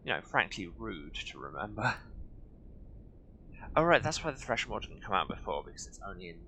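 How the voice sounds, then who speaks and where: Low-voiced, animated man commentating a video game. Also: normal speed. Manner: wry, jokey, self-deprecating. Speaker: gaming streamer doing a playthrough. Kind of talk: casual live game commentary. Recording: loud game noise behind the voice.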